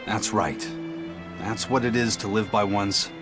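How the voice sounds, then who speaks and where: An actor playing a gruff, brooding pirate with a grave, terse manner in a video game cutscene. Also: male voice, fairly low pitch, steady tone, measured pace, natural voice. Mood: reflective. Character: brooding, gruff pirate man haunted by a cursed past. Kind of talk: English-dubbed video game cutscene acting. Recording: dramatic game music behind the voice.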